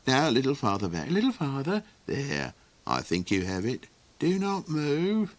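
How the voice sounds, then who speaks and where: Man playing a fussy magical helper, swooping pitch. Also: wildly swooping pitch, normal speed. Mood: fussy puzzling.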